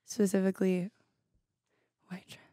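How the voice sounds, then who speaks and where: A woman's voice: mid-range voice, completely flat delivery, a little slow, mellow, no room sound. A young woman from Texas bantering casually and comedically as a podcast guest, with a sassy, teasing manner, close on the mic. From Texas, United States. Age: young adult. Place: indoor podcast studio. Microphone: close podcast microphone.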